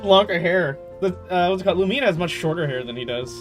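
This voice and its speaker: A man's voice: high-pitched, expressive, quick pace. A man giving casual gameplay commentary, rambling and musing to himself as he plays. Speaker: game streamer. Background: game music.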